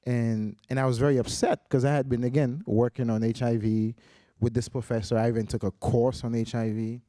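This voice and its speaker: A man's voice: medium pitch, level tone, brisk, mellow, no echo, studio quality. A man in his forties from Haiti, a researcher on a conference panel, telling his story earnestly with many restarts and fillers. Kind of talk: panel discussion, personal story.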